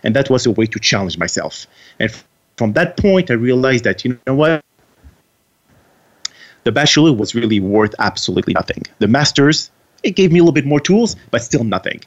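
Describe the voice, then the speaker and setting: A man's voice: medium pitch, very animated, a little fast. Earnest Quebec French man, slightly muffled. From Quebec, Canada. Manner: earnest, with frequent restarts. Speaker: guest author. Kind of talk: interview answers.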